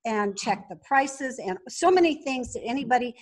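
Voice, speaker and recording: A woman's voice: a little high, lively intonation, normal speed. A woman telling business stories conversationally as an interview guest. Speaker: interview guest, wine company co-founder.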